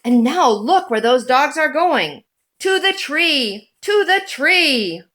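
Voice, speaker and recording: A woman reading a children's picture book aloud in a deliberate, animated storytelling manner. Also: sits high.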